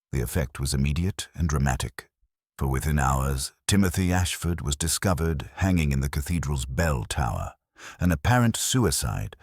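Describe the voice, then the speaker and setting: A man with an extremely low, deep voice narrates a mystery story. His delivery is formal and measured, yet his pitch moves in a lively way. The sound is dry and close, with no room around it. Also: no echo. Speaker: audiobook narrator.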